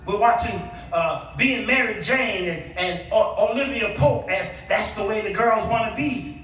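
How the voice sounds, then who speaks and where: A man preaching an animated, colloquial sermon, repeating his punchlines and acting out bits of dialogue to draw responses from the congregation. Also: high voice, unhurried. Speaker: guest preacher. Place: church sanctuary before a responding congregation. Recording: very echoey hall, distant microphone.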